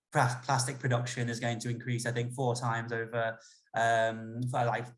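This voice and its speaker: Flat-voiced man, measured and hesitant. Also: mid-range voice. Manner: measured, many fillers. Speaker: campaign spokesperson. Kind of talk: casual livestream talk.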